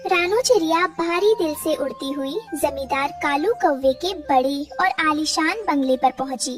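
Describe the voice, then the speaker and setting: Very high-pitched woman narrator. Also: normal speed, distant mic, loud music behind the voice. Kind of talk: scripted storybook narration.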